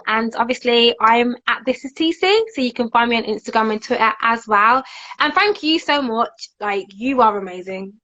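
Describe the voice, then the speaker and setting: A young woman speaks over a dull, lo-fi video call, her voice sitting fairly high. She is a guest in a casual live-stream interview, enthusiastic and chatty, scattering "like" through her sentences. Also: animated, moderate pace, fairly bright tone. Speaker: guest author. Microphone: phone front camera over video call.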